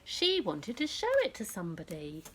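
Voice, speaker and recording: A woman reading a picture book aloud to young children, speaking directly to them in an expressive storytelling style that often drops to a hush. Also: fairly low pitch, moderate pace, a little hiss or hum. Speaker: children's story reader and presenter.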